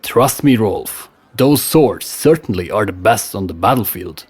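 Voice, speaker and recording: A loyal Norse warrior companion, voiced by a male storyteller. The voice stays close to the narrator's own, slow and plain, with an eager, reassuring tone. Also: on the low side, expressive, darkish tone, breathy edge.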